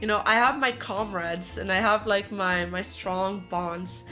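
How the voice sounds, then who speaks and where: Hoarse woman streamer, slow and chatty. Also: mid-range voice, fairly even intonation, pushed and bright, soft background music. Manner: chatty, rambling, easily laughing. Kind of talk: casual livestream chat with viewers.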